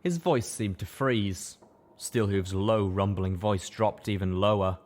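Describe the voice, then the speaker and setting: A man narrating a first-person story aloud with expressive, scripted audiobook delivery. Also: lowish voice, measured pace.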